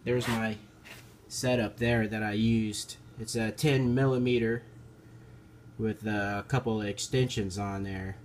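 A man giving a casual, hands-on repair walkthrough in an informal, halting, hesitant way, recorded on a handheld camera. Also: lowish voice, steady tone. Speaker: amateur car repair video maker. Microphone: handheld camera held over the engine. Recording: noticeable background noise, amateur handheld camera.

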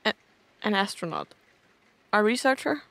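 Actress as female astronaut scientist, mid-pitched, curt, bewildered, skeptical, audio drama. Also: expressive, normal speed, vocal fry, a bit bright, no echo. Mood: disbelieving.

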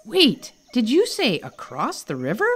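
A woman's voice: fairly high pitch, huge pitch swings, a little slow, slightly rough, soft background music, voice pushed up, exaggerated voice. A woman voicing a wise, responsible young male elephant in a storybook, earnest and cautioning. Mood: worried. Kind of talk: storybook character voice.

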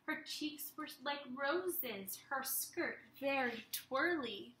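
Bright, high-voiced young woman reading to children. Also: slow pace. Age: young adult. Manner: animated, expressive, addressing children directly. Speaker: children's teacher reading aloud. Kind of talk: storybook read-aloud for young children. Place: small room at home. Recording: roomy, echoey home webcam sound.

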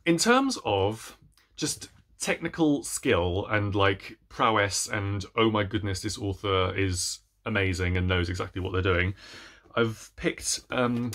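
A young man with a fairly low voice talks casually to camera about his reading. His delivery is chatty and hesitant, with a self-deprecating touch. He is recorded indoors at home, about an arm's length from the camera. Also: fairly bright tone. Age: young adult. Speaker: book reviewer vlogger. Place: small room at home, indoors.

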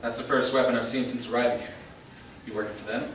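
A man's voice: medium pitch, level tone, quick pace, a bit bright, natural voice. A man playing a confident, blunt half-vampire hunter in a staged play reading, terse and challenging. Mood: wary. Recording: echoey room, far mic, faint noise.

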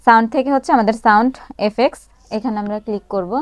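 A woman's voice: on the high side, steady tone, rapid-fire, no room sound. Woman presenting a tutorial, dry close sound. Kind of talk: casual step-by-step phone app tutorial.

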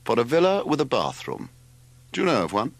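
A genteel mother from a storybook, voiced by a male narrator. She is courteous but firm, with a lifted, expressive pitch. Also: brisk. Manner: polite, firm. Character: genteel, firm English mother, politely untruthful.

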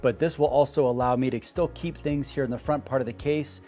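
A middle-aged man talks through a do-it-yourself build in a relaxed, explanatory way, clearly keen on the gear. His voice sits at an ordinary middle pitch, picked up close on a lapel mic in a wooden workshop. Soft music plays faintly underneath. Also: a little fast. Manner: casual, explanatory, enthusiastic about gear. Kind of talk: product build walkthrough. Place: open wooden workshop, indoors.

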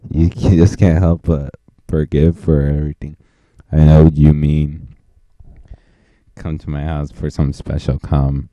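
A man's voice: very deep voice, level tone, measured pace, long pauses, dark tone, dry recording, highs cut off. A man doing a goofy, improvised parody dub as an amateur voice performer, with crude joking and silly repeated nonsense. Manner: silly, repetitive nonsense ad-libbing.

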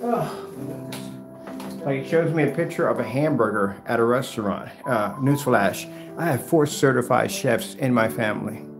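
A middle-aged American man with a mid-pitched voice mutters and grumbles casually to himself. He is heard in an echoey room at home, well away from the camera, with loud music playing. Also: normal speed, distant mic. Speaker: vlogger. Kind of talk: casual rambling self-talk while tidying. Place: indoors, home room with projector screen. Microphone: far camera.

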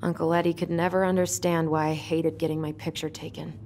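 A woman's voice: deep voice, flat delivery, a little fast, darkish tone, slight background noise. An actress playing a guarded, wry young American woman in a video game, talking in a subdued, dry way. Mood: subdued, bitter. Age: young adult. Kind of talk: naturalistic video game dialogue.

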